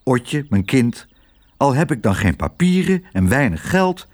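A man's voice: medium pitch, big pitch swings, measured pace, darkish tone. A man voicing an easygoing, optimistic father in a children's story, cheerful and reassuring. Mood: contented, persuasive.

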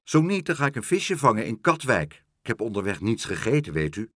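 Man voicing Karel, a brisk, loud seabird. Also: lowish voice, big pitch swings, no room sound, clean studio sound. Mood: brisk, blunt. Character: Karel, brisk blunt male seabird messenger.

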